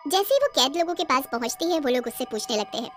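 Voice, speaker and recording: High-voiced woman narrating a story. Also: lively intonation, fast talker, faint music behind. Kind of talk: scripted cartoon horror story narration.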